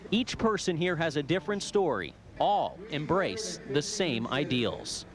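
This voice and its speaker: A man narrating a television news report in a measured reporter delivery. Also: fairly high pitch, big pitch swings.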